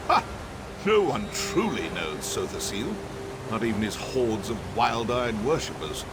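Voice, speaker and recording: A man's voice: lowish voice, very animated, measured pace. A man playing an arrogant, cryptic elven sorcerer scholar in a video game, theatrical, condescending and dryly sardonic. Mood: sardonic, musing. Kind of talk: scripted video game dialogue. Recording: music under the voice.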